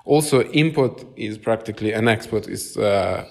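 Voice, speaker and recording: A man's voice: on the low side, normal speed, gravelly. Russian-accented man speaking hesitantly. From Moscow, Russia. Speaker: interviewee. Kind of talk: conversational interview.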